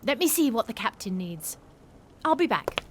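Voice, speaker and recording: A woman's voice: on the high side, big pitch swings, moderate pace, slight background noise. A woman acting a free-spirited, dreamy young sailor in a Regency-era audio drama, poetic and full of wonder. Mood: gentle, reflective. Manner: wonder-filled, poetic, effusive. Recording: music bed behind the voice.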